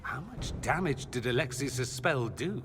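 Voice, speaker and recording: Casting: an urbane fantasy game mage, very animated intonation, wry, articulate, curious, over noisy ambience. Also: male voice, medium pitch, unhurried. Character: witty, urbane adult male mage, curious and concerned. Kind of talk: dramatic video game dialogue.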